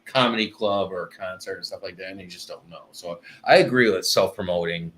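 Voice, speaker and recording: Male guest, low-pitched and laid-back, rambling talk, faint background hiss. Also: a little fast, a bit bright. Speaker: guest, old friend of host. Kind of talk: casual chat.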